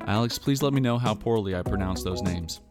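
A man's voice: lowish voice, fairly quick. A man hosting an interview podcast, talking eagerly with lots of fillers. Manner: eager and enthusiastic, filler-heavy. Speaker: podcast host. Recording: music behind the intro.

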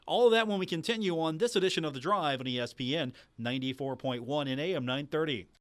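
A man's voice: fairly high pitch, fast, a bit bright, no echo. Male sports radio host, dry close sound. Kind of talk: live sports talk radio hosting.

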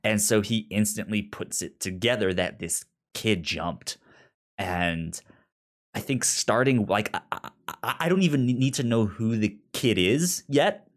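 A man co-hosting a podcast in casual chat about a TV drama, halting and often repeating or stammering on words. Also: fairly low pitch. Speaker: podcast co-host.

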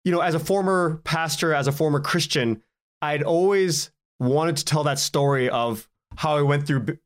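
Halting, slightly higher-voiced man, interview guest. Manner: halting, rambling, self-interrupting with repeated words. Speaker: podcast guest, writer and podcaster. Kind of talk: casual interview conversation.

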